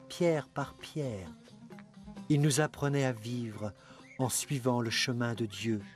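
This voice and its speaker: An actor playing a devout man who fondly recalls his youth, telling it earnestly and reverently in an animated film. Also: medium pitch, lightly acted. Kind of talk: scripted storytelling for an animated film.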